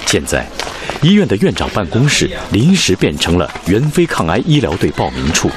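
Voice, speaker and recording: A man's voice: deep voice, wildly swooping pitch, normal speed, noisy background. A man giving solemn, formal, declamatory scripted narration for a radio drama. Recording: very echoey, with prominent music bed. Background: music.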